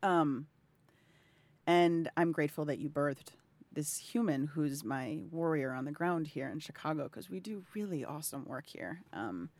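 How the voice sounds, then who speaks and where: A woman's voice: deep voice, expressive, normal speed, a little mellow. Effusive woman in her thirties. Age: 30s. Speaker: interviewer and health advocate. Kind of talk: heartfelt personal conversation.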